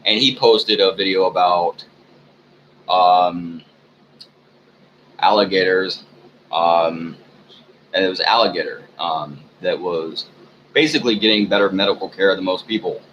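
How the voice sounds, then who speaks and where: A man with a very deep voice rambles through a sleepy, unscripted monologue at home, stopping often for ums and lost words. The recording sounds dull and muffled, with a clear hiss under it. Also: a little slow, pauses often, fairly bright tone. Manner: meandering, frequent ums, sleepy and low-key. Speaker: vlogger. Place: room at home.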